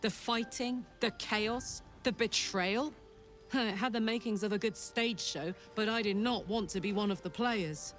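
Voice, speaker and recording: A woman's voice: medium pitch, measured pace, faint music behind, close to an everyday voice. Woman acting a weary, wry runaway hell soldier. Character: weary, wry escaped soldier of a devil's army. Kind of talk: video game dialogue acting.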